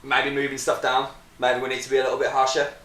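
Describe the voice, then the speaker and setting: A man's voice: mid-range voice, completely flat delivery, fast talker, fairly bright tone. A young man in casual conversation with friends, giving his opinions as a guest. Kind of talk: casual chat among friends. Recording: echoey room with faint noise.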